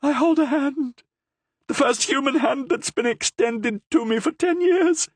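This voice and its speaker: A man voicing a half-mad, starving woman prisoner who cries out in desperate, shrill pleas. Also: expressive, measured pace, husky, no room sound, exaggerated voice. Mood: frantic pleading.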